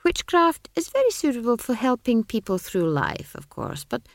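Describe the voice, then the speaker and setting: Woman acting old male wizard, storybook, genial condescension, self-satisfied, raised swooping pitch, unhurried, dry sound. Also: sing-song, no echo. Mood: genial, patronising. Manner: patronising, unhurried, airy speech.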